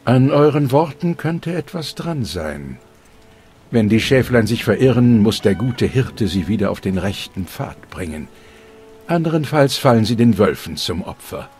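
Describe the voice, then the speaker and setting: A stern old fire-cult preacher, solemn and preaching, with a lively rise and fall in his voice. Game music plays softly underneath. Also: mid-range voice, measured pace. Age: older. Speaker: game character. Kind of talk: dubbed video game dialogue.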